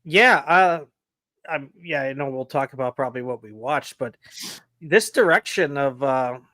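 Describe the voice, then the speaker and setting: A man's voice: medium pitch, measured pace, sharp tone, dry recording. A man co-hosting a casual horror podcast, chatty and easygoing, a bit sniffly from allergies. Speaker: podcast co-host.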